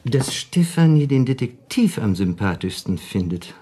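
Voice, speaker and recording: An actor playing a wry, shrewd dinner companion in a German radio play, a husky, mellow man who argues deliberately and persuasively. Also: mid-range voice, big pitch swings. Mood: scheming.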